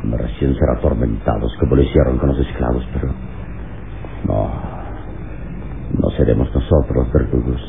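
An actor playing a noble, commanding hero in a solemn, declamatory radio drama style. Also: male voice, a bass voice, fairly even intonation, normal speed, creaky, long pauses. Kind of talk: Mexican radionovela acting. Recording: tinny old radio recording with hiss.

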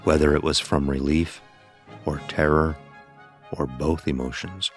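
A man playing a blind son who narrates his dark ordeal in a solemn, literary way, as horror drama. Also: very deep voice, steady tone, a little slow. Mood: somber. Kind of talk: scripted first-person horror narration. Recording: voice over background music.

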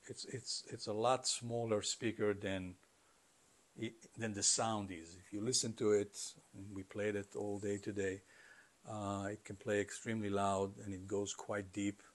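A middle-aged man giving conversational, explanatory interview answers in a halting way, as a company founder. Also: on the low side, level tone. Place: carpeted listening room, indoors.

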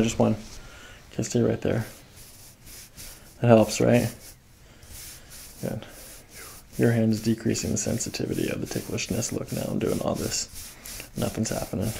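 A man's voice: mid-range voice, vocal fry, dark tone, slight background noise, rough recording. A man in his thirties working as a chiropractor, talking a patient through a hands-on exam with instructions and short check-in questions. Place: small carpeted clinic treatment room. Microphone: microphone on a boom arm near the table.

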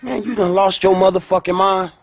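A man's voice: high-pitched, steady tone, fairly quick. A man playing a wry, skeptical young American street hustler in a video game, talking in slangy, profane, incredulous lines. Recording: game audio with clear background noise.

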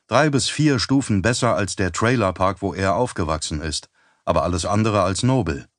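A man reading an audiobook as narrator in a measured, even storytelling manner on a close studio mic. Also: deep voice, no echo. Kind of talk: scripted narration.

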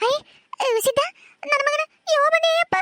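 A woman's voice: very high-pitched, fairly even intonation, moderate pace, sharp tone, no room sound. A woman playing a doting widowed mother who pleads melodramatically. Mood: wailing. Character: doting widowed mother, fierce in defending her son.

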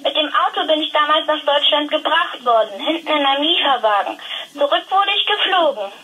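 A woman's voice: sits high, expressive, brisk, bright, forceful tone, rough recording. A young female performer playing a grateful, friendly freed girl speaking over the telephone. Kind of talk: audio drama acting as phone interview.

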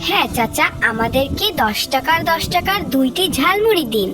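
High-pitched bossy cartoon girl voiced by a woman. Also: expressive, normal speed, music in the background. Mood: eager. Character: haughty, dismissive young girl. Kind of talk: children's moral cartoon dialogue.

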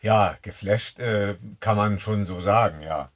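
A man co-hosting a camping vlog, chatting in a relaxed way from inside a camper van. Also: lowish voice. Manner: relaxed, talks in drawn-out asides with tag questions. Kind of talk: casual chat.

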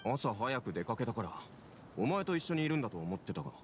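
An actor playing an impulsive, defensive young worker in an anime game, gruff and sputtering. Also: male voice, medium pitch, big pitch swings, fast, a little hiss or hum, natural voice. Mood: indignant, defensive. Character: hot-headed, impulsive young worker brother.

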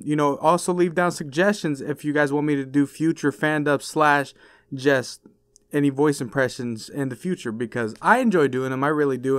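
Young man, YouTuber, casual outro chat, clear smooth voice, medium pitch. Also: no echo. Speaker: YouTuber and amateur voice impressionist.

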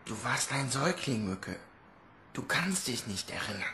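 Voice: medium pitch, sing-song, moderate pace, background noise. An actor playing a big, protective but disillusioned orphan boy in a radio drama, blunt and sceptical.

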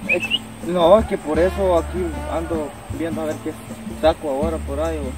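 A man's voice: a little high, moderate pace, lightly acted, poor audio. A man in his twenties with a Central American accent, playing a young rural father-to-be in an amateur skit. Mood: downcast. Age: 20s. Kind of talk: improvised amateur skit dialogue. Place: outdoors on a rural dirt path. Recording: loud music behind, outdoor camera.

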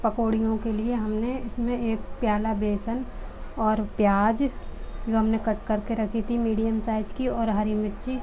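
A woman with a fairly high voice talks viewers through a recipe step by step, keeping her tone level and calm. She speaks from her home kitchen into a phone, and the sound is muffled and lo-fi. A faint room hum sits behind her. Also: measured pace. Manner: addresses viewers as friends, calm explaining. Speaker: home cooking channel host. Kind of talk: step-by-step cooking instructions.

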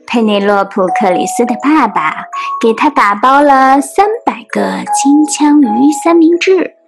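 Woman, higher-pitched, unhurried pace, expressive storybook read-aloud, music behind. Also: vocal fry. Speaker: storybook reader and translator. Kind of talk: expressive read-aloud of a children's picture book.

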